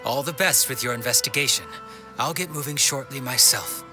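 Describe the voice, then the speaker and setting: A man's voice: mid-range voice, brisk, natural voice. A man voicing a courteous, obliging townsman in a video game, talking politely and expressively. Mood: informative. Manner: polite, expressive, conversational, flattering. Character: obliging, well-informed, courteous man of the town. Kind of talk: scripted video game dialogue. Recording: game music behind the voice. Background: background music.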